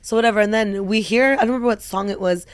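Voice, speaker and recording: A woman co-hosting a podcast, telling a night-out story in casual chat with a raspy voice, swearing and laughing often. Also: mid-range voice, very fast. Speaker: podcast co-host.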